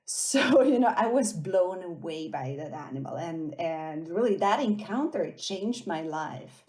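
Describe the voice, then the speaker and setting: A woman's voice: fairly low pitch, animated, darkish tone. A woman from Switzerland, a podcast guest telling personal stories conversationally in a light-hearted way. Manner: light-hearted, quick to laugh. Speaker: podcast guest, armadillo researcher.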